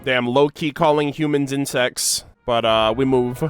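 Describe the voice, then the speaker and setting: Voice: mid-range voice, steady tone, a little slow. Slangy game reaction streamer over music. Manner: slangy, exclamatory. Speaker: reaction video creator. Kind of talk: casual gaming reaction commentary to chat.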